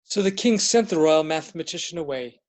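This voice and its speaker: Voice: medium pitch, expressive, fairly quick, a little mellow. A narrator reading scripted story narration in a readers theater.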